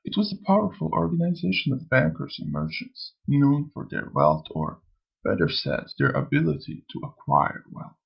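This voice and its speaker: A man voicing a gravelly, sly old spirit. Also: fairly high pitch, very animated, moderate pace, dull audio, heavily acted. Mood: storytelling, measured. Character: sly, amused old male spirit who preaches and taunts. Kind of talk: scripted motion comic dialogue.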